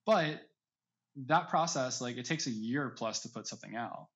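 A man's voice: mid-range voice, normal speed, fairly bright tone. A man speaking as a podcast guest in casual, discursive interview chat, often hedging as he explains. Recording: slightly dull, lo-fi audio.

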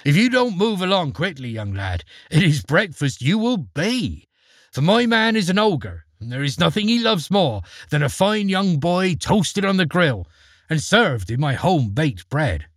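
A bossy, scolding giantess, as a man puts her on for a storybook. Her voice climbs high and swoops widely, with a breathy edge. It sounds close and dry. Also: very animated, measured pace, dry recording. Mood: mocking. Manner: scolding, tut-tutting. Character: bossy country giantess, ogre's wife.

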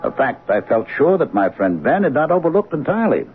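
An actor playing a blunt, authoritative police captain in an old-time radio drama, questioning and commanding. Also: male voice, a little high, big pitch swings, fairly quick, soft background music. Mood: wry, confiding. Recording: muffled, noisy old radio broadcast recording.

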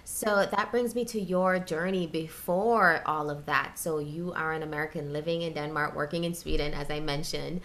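A woman with a low voice hosts a casual interview. She asks encouraging, open questions in a conversational way. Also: fairly even intonation, moderate pace, few pauses, a bit bright, a little hiss or hum.

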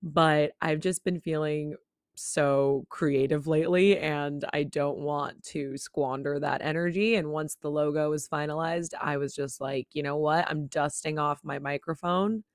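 A woman's voice: low voice, steady tone, measured pace, dry recording, studio quality. A woman in her late twenties hosting her own podcast, talking candidly and casually with little laughs, her voice still not fully recovered. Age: 20s. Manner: chatty, candid, laughs between words. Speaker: podcast host. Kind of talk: casual solo podcast chat. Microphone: own studio microphone, close.

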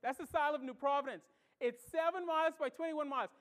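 A woman from the Bahamas delivers a sermon with a high, bright voice. She preaches emphatically and with lots of animation, leaning on rhetorical questions to drive each point home. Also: fast talker.

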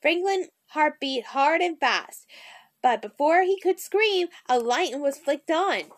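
A woman's voice: high-pitched, lively intonation, takes it slowly. A woman hosting a read-along, reading a children's storybook aloud.

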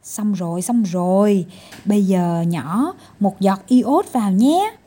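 A patient little storybook doctor, played by a woman, coaxing and gently scolding. The delivery is animated and soft-edged, with a mellow, slightly husky tone. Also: mid-range voice, slow pace. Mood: coaxing, reassuring. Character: tiny male doctor, patient, coaxing, mildly exasperated.

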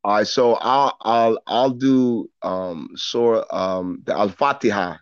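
A man's voice: fairly low pitch, takes it slowly, smooth, no room sound. Man talking earnestly, slightly muffled dry recording. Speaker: podcast guest, storyteller. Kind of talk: casual reflective conversation.

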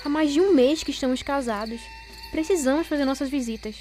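An actress playing a proud, cutting young wife in a Brazilian radio soap opera, with formal, provoking speech. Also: female voice, sits high, brisk, mellow, soft background music, natural voice. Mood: coolly commanding. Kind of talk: radio soap opera acting of a literary classic.

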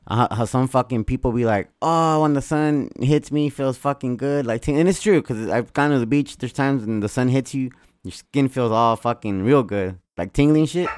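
A man's voice: mid-range voice, normal speed, clean tone, no room sound. A man co-hosting a podcast in casual chat, rambling and swearing freely. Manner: profane, excitable, rambling. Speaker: podcast co-host.

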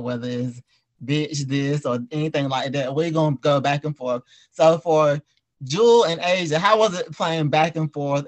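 A man hosting a casual group discussion, asking questions and explaining things conversationally. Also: fairly high pitch. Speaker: podcast host.

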